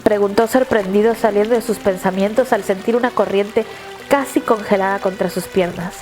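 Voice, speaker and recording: A woman narrator reading a scripted dramatic story in a measured, literary storytelling manner. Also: mid-range voice, fairly even intonation, over music.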